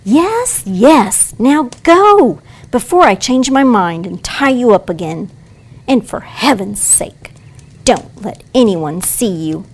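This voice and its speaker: A woman playing a bossy, brusque robber girl with a hidden soft heart in an audio play. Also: a little high, very animated, slow, breathy edge, exaggerated voice. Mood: commanding, then grudgingly kind. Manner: brusque, commanding.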